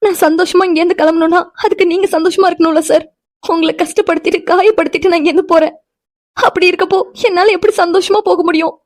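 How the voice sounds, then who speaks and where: A woman's voice: extremely high pitch, steady tone, fast talker. A woman playing an anxious, caring young woman in an emotional audio drama, pleading and fussing earnestly.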